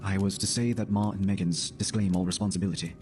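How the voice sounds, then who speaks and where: A man as a low-voiced, stiff company messenger. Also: fairly even intonation, fast talker, background noise, natural voice. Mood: formal, uneasy. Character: formal, uneasy male company messenger bearing bad news. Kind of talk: dramatic horror story reading.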